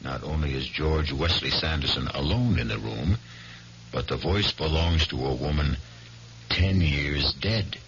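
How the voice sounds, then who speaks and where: A very deep, creaky-voiced man hosts a radio mystery with deliberate, ominous narration. The old recording is dull, with music behind him. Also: heavy background noise. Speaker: host and narrator.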